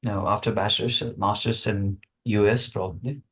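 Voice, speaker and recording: A man's voice: fairly low pitch, fairly even intonation, moderate pace, husky. A young man from Nepal with a Nepali accent, chatting casually and often searching for words. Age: young adult. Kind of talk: casual chat.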